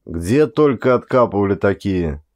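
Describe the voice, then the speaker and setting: A man's voice: fairly low pitch, expressive, normal speed, a little mellow. A middle-aged man reading a short story as an audiobook narrator, in a dramatic scripted style.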